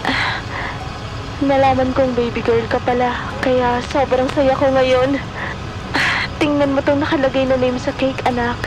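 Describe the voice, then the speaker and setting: A woman's voice: sits high, flat delivery, a little slow, long pauses, poor audio. A woman playing a loving expectant mother talking to her baby in an audio drama, tender and sentimental, with a Filipino accent. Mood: joyful, sentimental.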